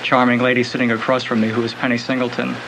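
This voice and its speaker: A man's voice: medium pitch, monotone, quick pace, vocal fry. A man speaking as a club leader in a radio interview, explaining things politely. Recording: noisy home cassette of radio broadcast.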